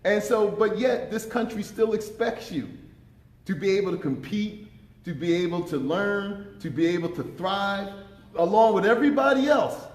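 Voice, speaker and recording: Impassioned deep-voiced man in his fifties. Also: animated, moderate pace. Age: 50s. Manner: impassioned, emphatic, repeats words when pressing a point. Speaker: panelist. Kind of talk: conference panel talk. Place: conference room. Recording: echoey conference room.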